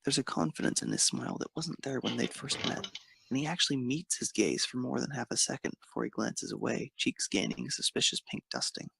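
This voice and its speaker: A man with a creaky, husky voice narrating a romantic story expressively. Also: medium pitch, huge pitch swings, fairly quick, sharp tone, no room sound.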